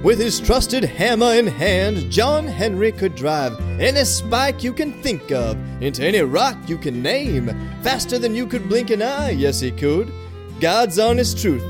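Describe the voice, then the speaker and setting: A man's voice: high-pitched, full character voice. A man playing a folksy robot storyteller, narrating a tall tale in a drawling, colloquial, emphatic way. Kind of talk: Southern-flavored folk tale narration. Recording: guitar music behind the voice.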